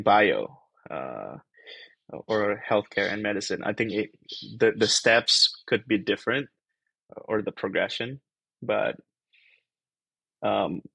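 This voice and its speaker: Gravelly, husky young man on a video call. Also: lowish voice, sharp tone, dry recording, poor audio. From Indonesia. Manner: rambling, thinks aloud with many fillers and restarts. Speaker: guest, PhD researcher. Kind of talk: casual video-call interview. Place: small bedroom-like room.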